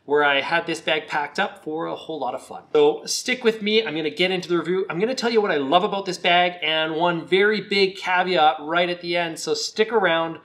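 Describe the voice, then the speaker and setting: A man with a slightly croaky voice, recovering from a cold, giving a relaxed, chatty product review on his video channel. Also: fairly high pitch, brisk, few pauses. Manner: relaxed, chatty, with some fillers.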